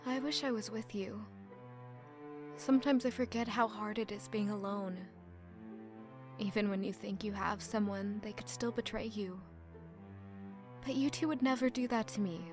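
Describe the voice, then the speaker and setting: A woman's voice: mid-range voice, steady tone, moderate pace, pauses often, lightly acted. Actress playing a wistful, lonely young woman over music. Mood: wistful, grieving. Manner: wistful, reflective. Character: lonely, grieving young woman musing to dead parents. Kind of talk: audio drama dialogue. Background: music under the voice.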